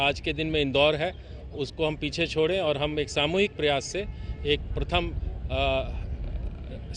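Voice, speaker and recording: A man, a police official, giving a halting, deliberate statement to media and mixing in English words. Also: a little high, fairly bright tone. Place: outdoors. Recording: outdoor interview with traffic-like background noise.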